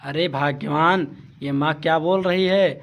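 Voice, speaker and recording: A man's voice: on the high side, steady tone, measured pace, muffled recording, natural voice. Man playing an exasperated husband. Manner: scolding, exasperated. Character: exasperated young husband caught between wife and mother. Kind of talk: comic family sketch acting. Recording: loud backing music.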